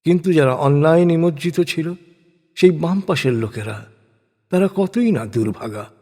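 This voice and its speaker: A man reading a translated scripture as solemn scripted narration, in a measured, declamatory way. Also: fairly high pitch, a little mellow. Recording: long hall-like reverb on the voice.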